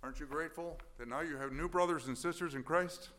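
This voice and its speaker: A man with a bright, forceful voice presents to a church gathering. He speaks conversationally and turns questions back to the listeners. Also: on the high side, fast talker. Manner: conversational, asks the audience questions. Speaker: mission presenter.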